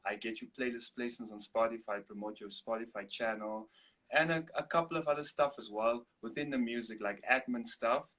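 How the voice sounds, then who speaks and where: Relaxed man from Namibia, Afrikaans native. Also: mid-range voice, a little fast. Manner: relaxed, rambling with restarts and laughs. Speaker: guest, singer-songwriter. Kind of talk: casual video-call interview.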